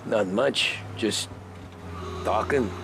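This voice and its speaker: An actor playing a weary, dryly polite 1930s cab driver in a game cutscene, speaking in a laconic way. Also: male voice, lowish voice, drawn-out words, vocal fry, background noise, exaggerated voice. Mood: weary, wry. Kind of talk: naturalistic game cutscene acting.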